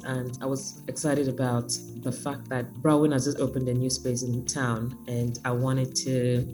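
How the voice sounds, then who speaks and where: A woman artist speaking by phone in an interview, reflective and sometimes joking, with lively emphasis. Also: a bass voice, poor audio. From Nigeria.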